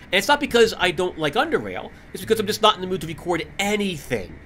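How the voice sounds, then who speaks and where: A man hosting a gaming channel, talking casually and candidly to viewers over gameplay, stuffy with a cold, rambling with restarts and soft laughs. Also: high-pitched, sing-song, fast talker, a little hiss or hum. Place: at home in front of his computer.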